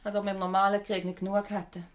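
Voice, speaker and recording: A woman playing a brisk, wry wartime doctor in a radio drama, with a Swiss German accent and a dry, blunt manner. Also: medium pitch, level tone, lightly acted. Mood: dry, weary.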